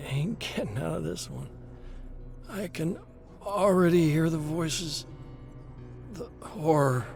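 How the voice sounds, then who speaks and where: A man voicing a dying, drugged secret agent who confesses in despair, agitated and halting, in a dramatic audio story. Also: fairly high pitch, gravelly, music in the background, voice pushed up. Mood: haunted despair. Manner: agitated, halting, breaking into anguished strain.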